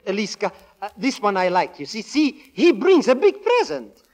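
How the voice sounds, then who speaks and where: High-voiced excitable uncle. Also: male voice, normal speed. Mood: eager, meddling. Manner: broken English, eager. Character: doting, excitable foreign-born uncle and cook. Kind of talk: 1940s radio comedy acting. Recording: noisy old 1940s radio recording.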